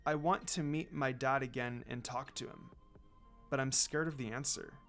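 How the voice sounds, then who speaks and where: A man voicing a gentle, anxious young woman heroine in a story reading, soft and hesitant. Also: mid-range voice, fairly even intonation, moderate pace, faint music behind, lightly acted. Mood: worried.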